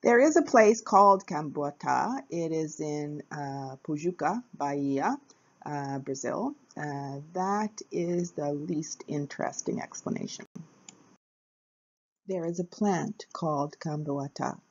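A woman narrating a short capoeira lesson video in a casual, halting way, with frequent uh fillers between phrases. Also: deep voice, animated, dull audio. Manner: hesitant, many uh fillers, plain. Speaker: capoeira teacher.

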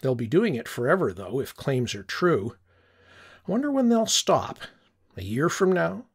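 A man's voice: fairly high pitch, very animated, breathy edge. Older man reading in a wistful first-person voice. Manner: reflective, wistful, unhurried. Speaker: audiobook narrator. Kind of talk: first-person scripted narration.

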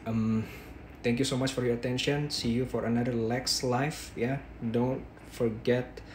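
A man's voice: mid-range voice, little pitch movement, a little hiss or hum. Indonesian-accented young man with a sore throat. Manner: halting, frequent "yeah" tags and restarts. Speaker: dentist teaching a live demo. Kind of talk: live-stream teaching, reading viewer questions. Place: small bedroom at night. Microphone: phone held close at arm's length.

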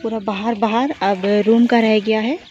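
A woman's voice: fairly high pitch, fairly even intonation, moderate pace. A young woman from Jharkhand, India, vlogging her chores in a village yard, talking casually into a phone held at arm's length. She sounds tired from a day of physical work. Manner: chatty, showing chores while walking and working. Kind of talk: casual vlog narration to camera. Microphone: phone camera at arm's length. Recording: dull lo-fi phone audio with clear outdoor noise.

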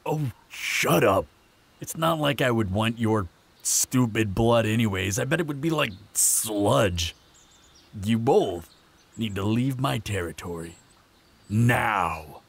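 Man acting a gravelly, low, menacing vampire. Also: expressive, heavily acted. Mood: scornful threat. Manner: measured, threatening, sardonic. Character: proud protective male vampire, menacing, sardonic, fond of lover. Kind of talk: fantasy roleplay audio drama.

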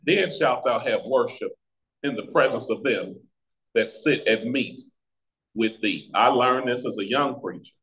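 An American man teaching a Bible study as a pastor, with deliberate, emphatic phrasing and pauses, over a video call. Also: medium pitch, a bit bright, low-quality recording. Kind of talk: Bible teaching with scripture reading. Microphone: video call microphone.

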